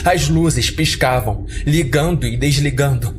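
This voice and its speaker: A man's voice: medium pitch, measured pace, a little hiss or hum. A man narrating a scripted horror story in a dramatic audiodrama style, as a podcast storyteller.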